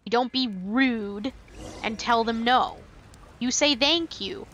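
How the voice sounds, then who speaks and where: A woman's voice: a little high, takes it slowly, fairly bright tone, slight background noise. A woman live-streaming a game in casual commentary, playfully and wryly talking back to the characters. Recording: faint game music behind.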